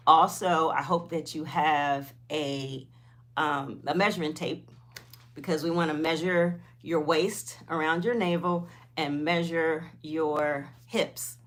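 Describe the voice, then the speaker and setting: A woman's voice: deep voice, fairly bright tone. A woman, chatting informally on a livestream and replying to viewers, often repeating herself. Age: 50s. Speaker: host of a weight loss livestream.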